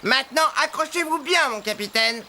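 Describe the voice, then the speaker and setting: An actor playing a mischievous young boy in a cartoon, excitable and taunting. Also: high voice, moderate pace, a little hiss or hum, full character voice. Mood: gloating. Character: gleeful, mischievous young boy tormenting a beetle. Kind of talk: animated cartoon dubbing.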